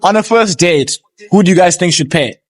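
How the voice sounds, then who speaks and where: A man's voice: high voice, moderate pace. A man hosting casual street interviews outdoors, chatty and laughing often. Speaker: host.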